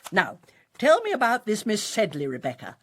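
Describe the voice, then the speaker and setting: An actress with an English accent playing a rich, imperious and mischievous older spinster aunt in a radio drama. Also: female voice, mid-range voice, wildly swooping pitch, normal speed, slightly rough. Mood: grand, playful. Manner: grand, fussy, gleefully amused. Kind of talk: British radio drama acting.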